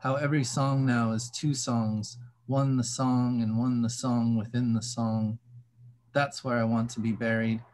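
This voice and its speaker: A man's voice: medium pitch, little pitch movement, measured pace, a little mellow. Man reading lyrically over faint ambient noise. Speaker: reader.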